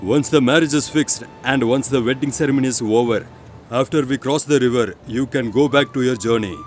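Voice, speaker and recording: A man's voice: mid-range voice, brisk, faint music behind, lightly acted. An actor playing a scheming, persuasive father in a moral story, speaking in a formal, insistent way. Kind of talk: animated storybook acting.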